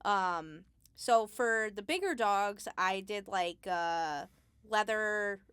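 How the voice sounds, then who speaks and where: Female interview guest, medium pitch, casual and explanatory, somewhat halting, recorded very dry. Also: expressive, fairly bright tone, clean tone, no room sound. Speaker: Foley artist, interview guest.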